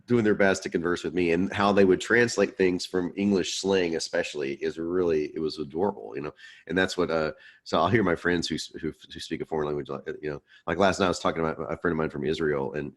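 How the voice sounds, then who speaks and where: Deep-voiced chatty male instructor. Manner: chatty, self-deprecating, laughs often. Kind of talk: online class Q&A.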